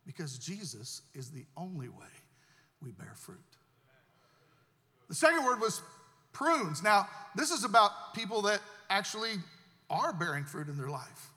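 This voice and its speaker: High, bright-voiced pastor preaching in a hall. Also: male voice, very animated, unhurried, long pauses. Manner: earnest preaching with repeated words and restarts. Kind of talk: sermon.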